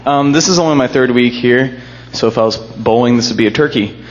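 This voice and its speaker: A young college-age man with a booming voice speaks into a handheld mic in a church hall. He sounds earnest and emotional, slightly shaky, and he jokes about himself now and then. The recording is muffled and lo-fi. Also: a little fast, background noise. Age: young adult. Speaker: college student sharing a testimony. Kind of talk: church group testimony.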